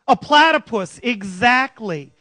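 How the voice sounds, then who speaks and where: A middle-aged man with a big, loud voice presents to a conference audience from a large auditorium stage. His voice sits high and lively, and he keeps turning to the listeners with quick questions. The handheld mic sounds close and dry. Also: dry recording, soft background music. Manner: animated, jokey, asks the audience questions. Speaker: conference speaker. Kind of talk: tech conference talk. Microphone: handheld microphone.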